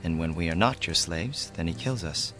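An actor playing a wounded, dignified Native man in a radio drama, speaking solemnly and deliberately. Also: deep voice, animated, over music. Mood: bitter, grieving.

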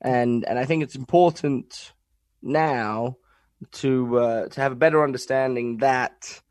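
A young man co-hosting a podcast from home, nasal and congested from a cold, talking in halting restarts. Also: medium pitch, a little mellow, no echo, clean studio sound. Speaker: podcast co-host. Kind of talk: casual podcast chat.

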